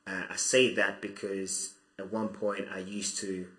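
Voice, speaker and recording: A man's voice: low voice, flat delivery, measured pace, a bit bright, echoey room. A young man from Zimbabwe, a student, answering interview questions about his life in a thoughtful, hesitant way with many restarts. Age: 20s. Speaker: guest.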